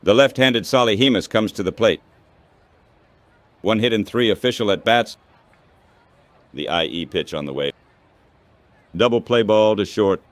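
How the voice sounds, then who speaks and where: A man's voice: lowish voice, unhurried, long pauses, no room sound. A synthetic text-to-speech man's voice calling baseball play-by-play in a radio style, in short clipped phrases.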